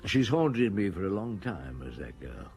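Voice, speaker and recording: An actor in a radio crime drama playing a middle-aged, world-weary but compassionate police chief, husky and measured, speaking deliberately. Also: male voice, sits low, big pitch swings, darkish tone, faint music behind, full character voice. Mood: haunted, melancholy.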